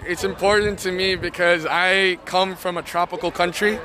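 A man from Indonesia with a fairly high voice answers earnestly in a street interview. He speaks in a measured way while a loud crowd rumbles around him outdoors. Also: steady tone. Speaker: interviewee at a climate strike.

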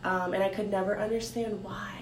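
A woman from Haiti telling her life story in a casual talk, thoughtful and pausing with many ums. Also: level tone. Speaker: guest sharing her story. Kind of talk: casual conversation. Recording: roomy with faint rumble.

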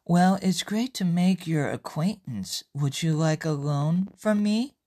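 A woman's voice: lowish voice, animated, unhurried, warm tone. A woman voicing a friendly, plain-spoken female bank clerk in a story, with a flirty undertone. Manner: plain-spoken, at times sultry.

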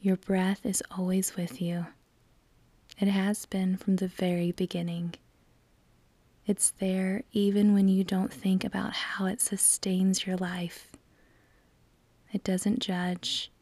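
Slow, breathy woman guiding meditation. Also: mid-range voice, no room sound. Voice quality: soft, breathy, near-whisper. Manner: calm, soothing, measured with long pauses. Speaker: meditation guide. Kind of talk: guided meditation narration.

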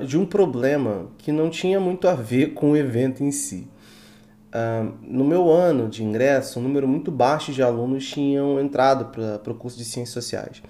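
Mellow-voiced young Carioca man. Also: medium pitch. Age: young adult. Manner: earnest, slightly formal, with hesitations. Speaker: guest, student event organizer. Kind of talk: casual podcast interview.

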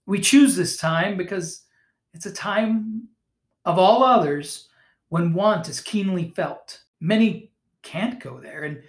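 A reader voicing a polite, earnest gentleman asking for charity, read from a storybook. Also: mid-range voice, slow pace, reverberant. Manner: courteous, earnest.

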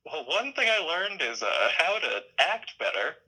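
A man chatting casually as an interview guest, halting and self-deprecating in how he talks. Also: on the high side, big pitch swings, bright, forceful tone, breathy edge, rough recording. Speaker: podcast guest, audio drama creator.

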